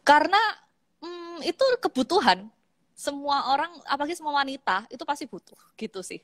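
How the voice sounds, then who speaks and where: A woman in her thirties from East Java with a Javanese accent, chatting casually as a guest on a live-stream interview, laughing easily and filling pauses with hesitations. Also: high-pitched, expressive, a bit bright, no echo. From Malang, East Java, Indonesia. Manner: chatty, laughs easily, many fillers and restarts. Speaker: guest, makeup artist. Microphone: phone on an online live stream.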